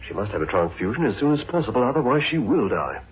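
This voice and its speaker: An actor playing an insistent doctor in a 1960s radio drama, reasoning firmly and formally to persuade. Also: male voice, mid-range voice, big pitch swings, fast talker, husky. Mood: urgent pleading. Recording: muffled, phone-like old radio recording.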